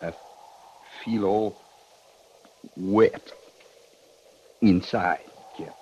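A man acting a weak, wounded young soldier in a 1950s radio western, resigned and halting, with an American accent. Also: low voice, fairly even intonation, a little mellow. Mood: fading, brave. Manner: halting, plain folksy speech. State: weak, fading. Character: dying wounded cavalry private, weakly stoic and resigned. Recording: dull noisy 1950s radio recording.

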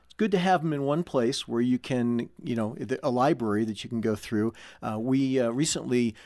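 A man speaking as a guest in a conversational interview, explaining things in a measured way. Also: medium pitch, darkish tone, no echo, studio quality. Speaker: guest, audio drama club manager.

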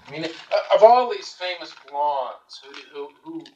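A man asking questions in a conversational interview, halting and groping for words. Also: on the high side, lively intonation, a little mellow. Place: hotel suite. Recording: distant, muffled, noisy interview tape.

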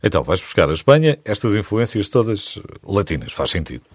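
A man with a European Portuguese accent hosting a radio show, asking quick, chatty questions. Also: fairly low pitch, sing-song, slightly rough, no room sound. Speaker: radio host. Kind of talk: casual radio interview.

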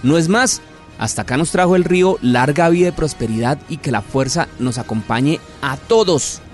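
A man's voice: on the high side, normal speed, faint music behind. A man hosting a conversational podcast interview, chatty and politely formal in his address.

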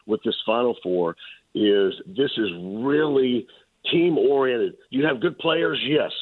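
A man analysing basketball as a guest on a sports radio show, emphatic and repetitive, his voice a little hoarse. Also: mid-range voice, no echo. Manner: emphatic, repeats key points. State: losing his voice, slightly hoarse. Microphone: phone line. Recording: tinny phone line.

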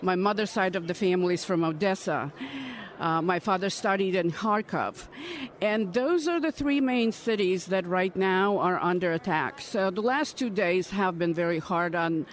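A woman in her fifties from Ukraine, giving earnest, measured interview answers at a political conference. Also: lowish voice. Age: 50s. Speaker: congressional candidate.

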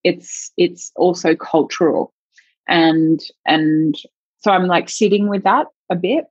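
Low-voiced woman thinking aloud. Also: takes it slowly, no room sound. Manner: searching, thinks aloud in loose winding sentences. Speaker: sexologist guest. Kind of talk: reflective interview conversation. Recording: dull lo-fi dry sound.

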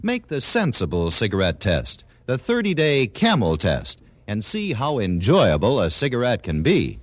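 A man's voice: medium pitch, huge pitch swings, normal speed, dry recording. A man announcing in a scripted 1940s radio commercial style.

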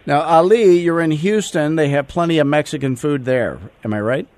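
A man's voice: fairly high pitch, moderate pace, a little mellow. A man hosting a podcast, with brash, teasing banter. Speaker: podcast host. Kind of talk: joking radio-style chat.

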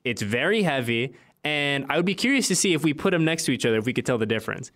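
A man's voice: medium pitch, lively intonation, fast, a bit bright. A young American man hosting a sports podcast, chatting casually in informal, slangy language. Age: late twenties to thirties. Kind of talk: casual interview chat.

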